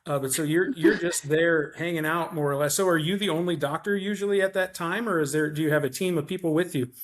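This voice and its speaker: A man's voice: a little high, fast talker. A man hosting a podcast with a slight Midwestern country accent, asking questions in a hesitant, friendly way with frequent restarts. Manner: hesitant, restarts often, affable. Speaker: podcast host. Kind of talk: interview questions.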